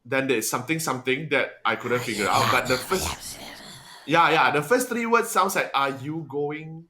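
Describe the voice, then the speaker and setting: A man's voice: medium pitch, animated, moderate pace, pushed and bright, slight background noise. A man on a casual discussion panel, speaking tentatively and repeating his phrases.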